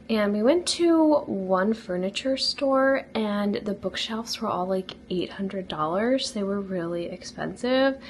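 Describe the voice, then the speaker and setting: A young American woman with a slightly raspy voice, chatting casually and informally to camera as a lifestyle vlogger. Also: mid-range voice, a little hiss or hum. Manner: informal, frequent "like" and "really".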